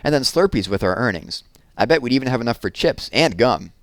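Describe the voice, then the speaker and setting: A man's voice: medium pitch, animated, brisk, no echo, voice pushed up, natural voice. An eager, slightly anxious young girl from a children's storybook, played by a man. The voice is lifted well up and swings about as it chats and explains things earnestly, recorded dry. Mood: hesitant, hopeful. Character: eager, anxious eight-year-old girl, good at math. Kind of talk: children's storybook read-aloud.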